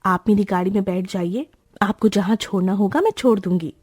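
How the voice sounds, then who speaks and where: A woman voicing a spirited young bride from a wealthy home, emotional and pleading. Her tone is mellow and a little husky, recorded dry and close. It suits a cartoon moral story. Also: medium pitch, a little fast, dry recording, lightly acted. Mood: earnest. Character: rich young bride, spirited, kind-hearted.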